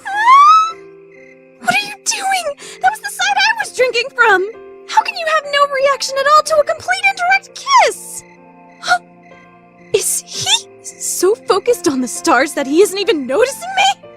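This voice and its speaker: Woman acting a haughty high-pitched scheming schoolgirl. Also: very animated, a little slow, bright, forceful tone, soft background music, heavily acted. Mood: flustered. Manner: theatrical, shifting between haughty plotting and flustered outbursts. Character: proud, scheming young lady student, haughty yet easily flustered. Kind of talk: anime-style fan dub radio play acting.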